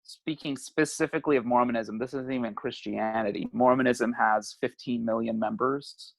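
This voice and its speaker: A man's voice: medium pitch, fairly even intonation, moderate pace, vocal fry, no echo, rough recording. A man in his late thirties, a family therapist talking candidly as an interview guest, reflective, laughing often and swearing casually. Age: 30s.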